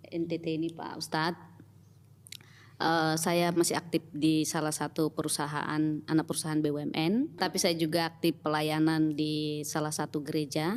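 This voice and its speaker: A middle-aged woman with a Batak Indonesian accent, a church pastoral worker speaking in a relaxed, reflective interview manner with many pauses and fillers. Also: sits low, big-room sound. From Batak, North Sumatra, Indonesia. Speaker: church pastoral team member, guest. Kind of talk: casual interview talk.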